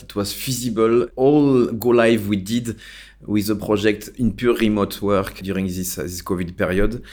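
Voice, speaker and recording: Low-voiced man. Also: a little mellow. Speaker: technology consultant, interview guest. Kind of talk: explanatory interview answers.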